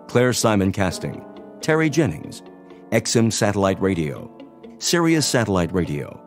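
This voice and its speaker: A man with a lowish voice reads credits in a formal, even announcer manner. Faint music plays beneath him. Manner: measured, formal. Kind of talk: closing credits read.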